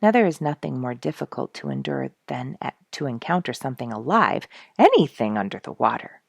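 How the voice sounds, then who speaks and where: A woman with a low, slightly creaky voice reads a story aloud. Her delivery is expressive and dramatic, like storybook narration, and the sound is close and dry. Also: fairly quick, no room sound. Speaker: storyteller reading a book chapter aloud.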